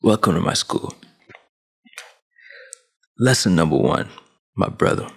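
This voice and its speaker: A man's voice: sits low, lively intonation, a little slow, gravelly, husky. A man in his twenties from Sudan, raised in Saudi Arabia, giving a preachy big-brother rant to camera on a headset mic in a small dim room. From Sudan, grew up in Saudi Arabia. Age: 20s. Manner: preachy big-brother tone, emphatic, with hand gestures. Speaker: vlogger. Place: small dim room at home, gaming chair behind. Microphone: headset with boom mic.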